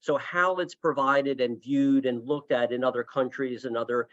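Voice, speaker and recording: A man's voice: medium pitch, fairly even intonation, normal speed, a bit bright. A man opens a webinar over a video call, sounding dull and lo-fi. He is enthusiastic but rambles, often restarting his sentences. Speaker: past section chair opening a webinar. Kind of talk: webinar introduction.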